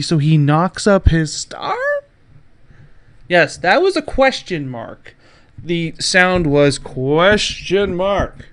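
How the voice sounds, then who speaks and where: A male podcast host with a somewhat high-pitched voice delivers casual news commentary. He is sarcastic and jokey, and leans on words with theatrical emphasis. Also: fairly bright tone, clear, resonant tone.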